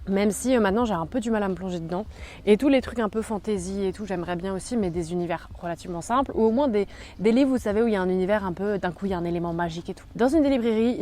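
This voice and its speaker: A woman's voice: mid-range voice, warm tone. A young woman chatting casually in a book vlog, rambling with many fillers. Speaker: book YouTuber.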